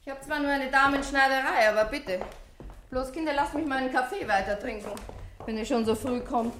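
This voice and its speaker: A fairly high female voice as a dress-shop owner in a radio crime play. She is brisk and testy, with chatty, colloquial phrasing. Nothing about her sounds intimidated. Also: a bit bright, a little hiss or hum. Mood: wry. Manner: brusque, colloquial, unintimidated.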